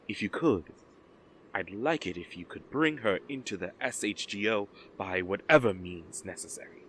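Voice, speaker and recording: Man acting a low, measured official. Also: slight background noise, rough recording. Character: calm, measured official recruiting heroes. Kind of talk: audio drama acting.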